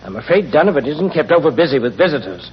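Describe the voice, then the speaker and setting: An actor playing a nervous, genteel British banker, hesitant and stammering, in the manner of 1940s radio drama. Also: male voice, on the high side, sing-song, fast talker, slightly rough, full character voice. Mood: hesitant, obliging. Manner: hesitant, fussy, polite, stammering. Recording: muffled, hissy, reverberant 1940s radio recording.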